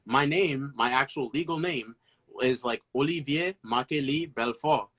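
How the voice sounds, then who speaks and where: A man's voice: medium pitch, unhurried, fairly bright tone. A young man, born in Haiti and raised in the US, chatting casually and conversationally with some slang. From born in Haiti, moved to the US when very young. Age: young adult. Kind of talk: casual chat.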